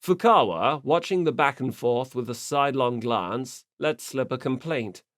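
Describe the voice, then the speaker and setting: A man's voice: mid-range voice, expressive, unhurried, no room sound, clean studio sound. A man reading scripted story narration as an audiobook narrator.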